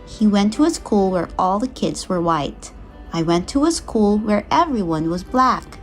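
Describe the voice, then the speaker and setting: A woman's voice: medium pitch, animated, unhurried, faint music behind. A woman reading a children's storybook aloud, expressively acting out the dialogue. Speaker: storybook reader.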